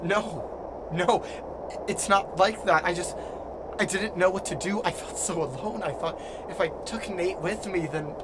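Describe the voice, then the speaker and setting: A man playing a lonely, guilt-ridden, desperate figure in an audio drama, halting and repeating himself as he pleads. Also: sits high. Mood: pleading. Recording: clear background noise and ambience.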